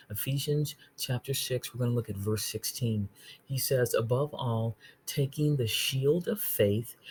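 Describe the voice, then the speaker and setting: A woman's voice: extremely low pitch, darkish tone, a little hiss or hum, rough recording. A woman giving an informal Bible study talk, earnestly explaining verses, often checking in with "okay?" and restarting her sentences. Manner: restarts phrases and reads scripture aloud slowly. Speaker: online Bible teacher.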